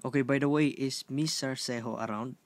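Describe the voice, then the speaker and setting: A man's voice: medium pitch, fairly even intonation, moderate pace, natural voice. A man with a Filipino accent playing an earnest, courteous teacher who formally instructs an online class in an amateur radio play. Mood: calm, concerned. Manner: formal, instructive, checking with "okay?".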